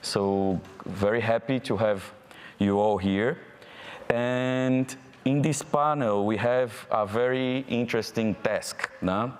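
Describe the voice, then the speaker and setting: A man from Brazil with a Brazilian Portuguese accent moderating a live panel, friendly and halting, with fillers. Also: mid-range voice, a little hiss or hum. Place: event hall. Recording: hall reverb from a live event.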